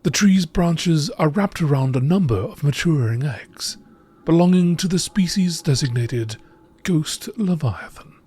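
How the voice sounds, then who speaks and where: A man reading scripted text aloud in a measured nature documentary style, as an amateur narrator. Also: on the high side, animated, dark tone, slightly rough.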